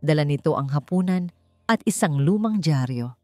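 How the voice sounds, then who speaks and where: A woman with a slightly low voice narrates a romance drama as scripted storytelling. Faint music plays behind her. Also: lively intonation, moderate pace, warm tone.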